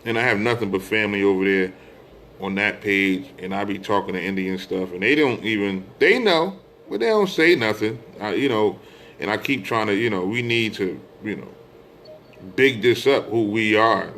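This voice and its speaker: A man's voice: fairly low pitch, expressive, moderate pace, a bit bright, slight background noise. A middle-aged American man giving an informal religious monologue, speaking emphatically, repeating phrases and posing rhetorical questions. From United States. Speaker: religious speaker addressing online followers.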